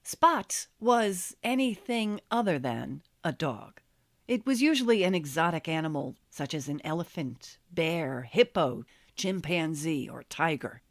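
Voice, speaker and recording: An American woman reading her memoir as an audiobook, telling it in a wry, expressive storytelling manner. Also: low-pitched, unhurried, no echo, clean studio sound. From Los Angeles, USA. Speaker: author reading own memoir.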